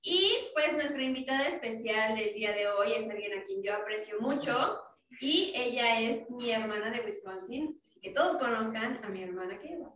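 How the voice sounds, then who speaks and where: A woman's voice: fairly high pitch, expressive, normal speed, fairly bright tone, distant mic. A young woman hosting a casual podcast, chatty, with many fillers. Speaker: podcast host. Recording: echoey room, voice a bit off-mic, faint noise.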